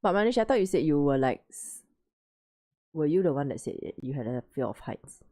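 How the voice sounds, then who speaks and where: A woman's voice: low-pitched, lively intonation, a little fast, mellow. A woman hosting a livestream, chatting casually with friends on a call, teasing and laughing often. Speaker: livestream host. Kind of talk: casual chat on a video call.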